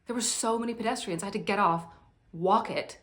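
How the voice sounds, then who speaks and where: Actress as a chatty, self-absorbed late party guest. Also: female voice, medium pitch, brisk, a bit bright, natural voice. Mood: breezy, scattered. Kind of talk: theatrical monologue performance.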